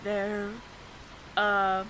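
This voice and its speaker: Woman acting a flustered, bright-voiced secretive roommate. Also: medium pitch, completely flat delivery, drawn-out words, a little hiss or hum, poor audio. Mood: evasive, improvising. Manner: stammering, flustered, over-explaining. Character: nervous, evasive alien posing as a friendly roommate. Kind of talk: audio roleplay acting, addressed to listener.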